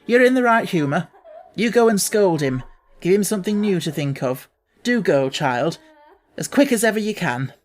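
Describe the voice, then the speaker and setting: A woman voicing a flustered adult nurse in an audiobook, urgent, hurried and coaxing. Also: fairly low pitch, lively intonation, lightly acted. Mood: urgent approval.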